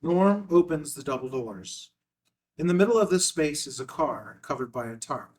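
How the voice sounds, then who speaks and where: A man's voice: a little high, animated, moderate pace, a little mellow. A man narrating scripted stage directions for a screenplay read, recorded at some distance from the microphone.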